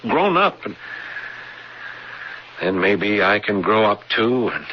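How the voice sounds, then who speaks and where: Troubled man, lively muffled radio voice. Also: lowish voice, slightly rough, heavily acted. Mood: anguished, guilty. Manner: halting, earnest, repeats words. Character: troubled, guilt-ridden married man, a courtroom artist. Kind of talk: 1980s radio drama acting. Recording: muffled, noisy 1980s radio broadcast.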